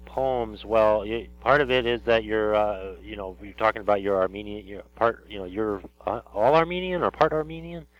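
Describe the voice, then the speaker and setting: Halting male radio interviewer, lo-fi. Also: fairly low pitch, no room sound. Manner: rambling, halting, many fillers and restarts. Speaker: interview host. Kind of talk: casual interview. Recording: dull lo-fi radio audio.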